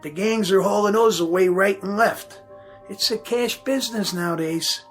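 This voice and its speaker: A man's voice: high voice, normal speed, natural voice. A working-class repairman as a male actor plays him in a radio drama. He talks in a folksy, ungrammatical way, hesitating apologetically yet holding firm. Faint music plays behind him. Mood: weary, explaining. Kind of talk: audio drama acting.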